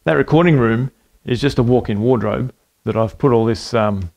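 A man's voice: mid-range voice, moderate pace, creaky. A man in his forties casually walking through how he recorded a song at home, rambling with fillers and self-corrections. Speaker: home music producer and channel host. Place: small home studio room at a computer desk.

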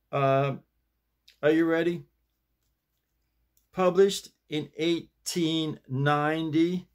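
An American man in his sixties chatting casually to camera in a halting, digressive way, often repeating his phrases. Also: fairly high pitch, vocal fry. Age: 60s. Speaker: vlogger. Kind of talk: rambling casual chat to camera. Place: small home office, indoors.

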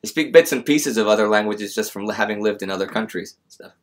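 A man's voice: fairly low pitch, quick pace. A middle-aged man with an American accent, chatting casually as he hosts a live stream. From United States. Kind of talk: casual chat, answering audience questions. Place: small indoor room, seated.

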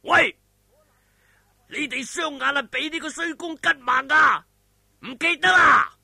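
A man voicing a menacing, gloating martial arts villain, taunting and bellowing with drawn-out words. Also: extremely high pitch, gravelly, pushed and bright, no echo, clean studio sound, voice pushed up, exaggerated voice. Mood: furious.

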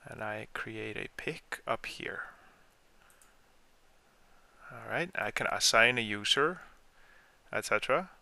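A man explaining software step by step in an informal screen-share tutorial, as the trainer. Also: lowish voice, takes it slowly, creaky, long pauses, dry recording.

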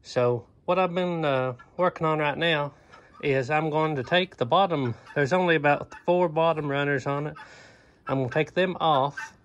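Folksy man, slightly high voice. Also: normal speed, dull audio. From Eastern Kentucky. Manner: easygoing, folksy, with many "um" and "uh" fillers. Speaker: homesteader vlogger. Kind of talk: casual DIY vlog talk. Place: inside an old wooden barn. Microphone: phone camera at arm's length.

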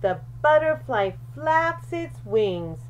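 A woman reads a picture book to young children. Her voice is high and swings widely in pitch, and she takes her time over each phrase, very slowly and deliberately. There is faint noise behind her. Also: lo-fi sound. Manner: animated, deliberate, with questions and asides to young listeners. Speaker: read-aloud storyteller for young children. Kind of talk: expressive picture-book read-aloud.

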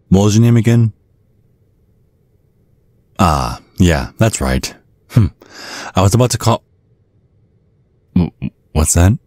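Very deep menacing vampire father, acted by a man. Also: mellow, no echo, full character voice. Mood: mock-polite, then condescending. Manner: slyly threatening, condescending, mock-polite. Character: menacing, genteel centuries-old vampire father sizing up suitor. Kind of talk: ASMR roleplay, scripted monologue.

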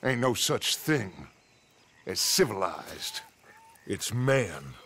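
An actor playing a charismatic, grandiose middle-aged outlaw boss in a western game, with an American accent and an oratorical, deliberate delivery. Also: male voice, fairly low pitch, big pitch swings, pushed and bright, breathy edge, exaggerated voice. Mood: sardonic, theatrical. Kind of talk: dramatic western game acting.

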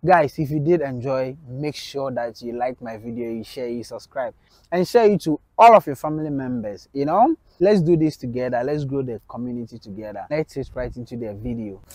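A man's voice: mid-range voice, fairly quick, a little mellow, no room sound. A young man hosting a street interview vlog, chatty and upbeat as he urges viewers to subscribe, filmed outdoors on a campus. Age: young adult. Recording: faint outdoor background noise.

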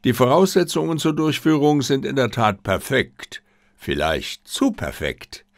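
A man reading the part of a confident, gently mocking detective with an assured, lecturing manner in an audiobook. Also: medium pitch, big pitch swings, unhurried, vocal fry, no room sound, clean studio sound. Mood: dry, lecturing.

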